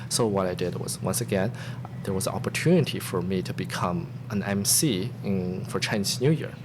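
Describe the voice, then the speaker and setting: A man's voice: deep voice, normal speed. A man in his thirties whose first language is not English, answering interview questions earnestly with some restarts. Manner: earnest, reflective, with frequent restarts. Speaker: podcast guest, staff software engineer. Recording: steady background noise.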